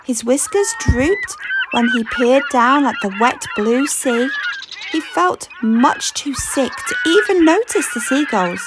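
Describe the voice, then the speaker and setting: A woman reading a children's story aloud with expressive, deliberate, dramatic narration. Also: sits high, background noise. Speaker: storybook reader.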